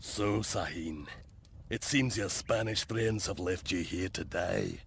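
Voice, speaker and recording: An actor playing a determined, blunt knight captain in a game cutscene, terse and resolute. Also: male voice, deep voice, slow pace, vocal fry, fairly bright tone, slight background noise, full character voice. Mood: grim. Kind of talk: video game cutscene acting.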